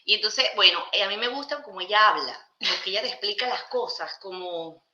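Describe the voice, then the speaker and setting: Very bright, forceful woman hosting in echoey room. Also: medium pitch, brisk, dull audio. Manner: informal, chatty, interjecting agreement. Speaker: podcast host. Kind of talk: casual conversational hosting.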